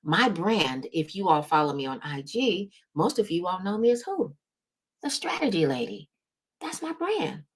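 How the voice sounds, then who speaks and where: A woman's voice: on the low side, very animated, normal speed, husky. A woman giving a motivational business coaching talk, speaking emphatically and often checking in with her listeners. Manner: emphatic, checks in with "right?" and "okay?". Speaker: business strategy coach and host.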